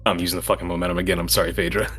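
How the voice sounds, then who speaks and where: A man's voice: low voice, flat delivery, racing, a bit bright. A man playing a tabletop game, bantering casually in a theatrical, teasing, slangy way. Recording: music underneath.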